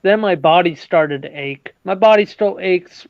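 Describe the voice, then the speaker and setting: A man's voice: high-pitched, a little slow. A man in his late twenties hosting a casual livestream chat, stumbling and repeating words and laughing often. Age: 20s. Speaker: livestream host. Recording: dull lo-fi, dry.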